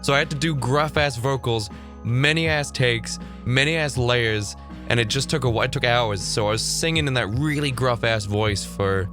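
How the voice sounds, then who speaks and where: A man with a Northern Irish accent and a slightly hoarse voice, chatting animatedly as he reacts to clips at a desk mic in his streaming room. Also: medium pitch, music in the background. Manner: animated, quick asides, frequent laughter. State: slightly hoarse from vocal fatigue. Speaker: goth reaction video host. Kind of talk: casual reaction commentary. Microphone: desk mic on boom arm.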